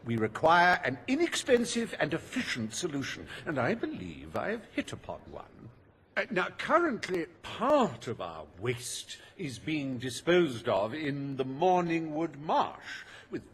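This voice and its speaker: High-pitched, theatrical, foppish schemer. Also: male voice, wildly swooping pitch, unhurried, a bit bright, in a large hall, a little hiss or hum, heavily acted. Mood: oily, scheming. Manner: theatrical, pompous, drawling. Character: foppish, greedy, scheming male industrialist with oily contempt for the poor. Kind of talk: theatrical video game dialogue.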